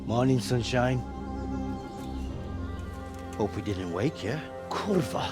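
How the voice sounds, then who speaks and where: A man's voice: medium pitch, animated, takes it slowly, over loud music. A man playing a brash, suspicious medieval thief with a blunt, sardonic manner in a video game. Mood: mocking. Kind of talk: video game cutscene acting.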